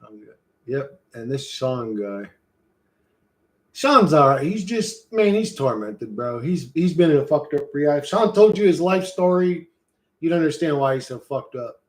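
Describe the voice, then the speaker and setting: A man's voice: fairly high pitch, expressive, unhurried. A man streaming live, chatting casually with his viewers, swearing freely and calling everyone bro. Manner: loose, profane, says "bro" a lot; rambling, reads and answers chat.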